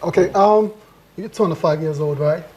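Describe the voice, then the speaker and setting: A man co-hosting a morning sports show asks direct, curious questions. His voice sits fairly high and has a husky edge. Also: moderate pace, darkish tone. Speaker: co-host.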